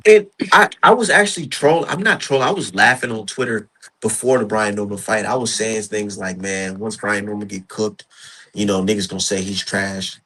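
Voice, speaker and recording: Man, 30s, casual podcast host, husky voice, heated and repetitive. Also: lowish voice, normal speed, poor audio. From Minnesota. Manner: animated, repetitive, heated ranting. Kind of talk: casual boxing talk.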